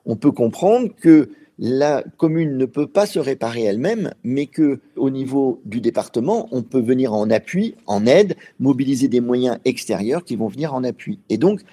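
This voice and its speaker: A man's voice: mid-range voice, expressive, normal speed, hardly any pauses, warm tone, slightly rough. A man speaking as an expert in an interview, explaining step by step with frequent hesitations and fillers. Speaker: expert guest.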